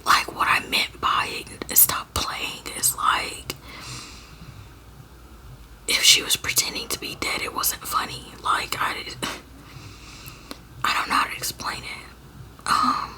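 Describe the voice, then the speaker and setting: A young woman from Georgia in the US, telling a personal story to her viewers in a halting whisper, full of fillers and restarts. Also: bright, forceful tone, rough recording. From Georgia, USA. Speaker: storyteller vlogger.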